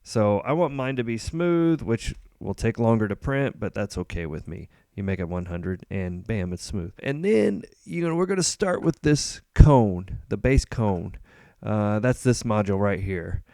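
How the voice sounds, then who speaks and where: A man hosting a casual screen-recorded coding tutorial, relaxed and rambling with frequent ums and uhs. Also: on the low side, measured pace, creaky, no room sound, studio quality. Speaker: host.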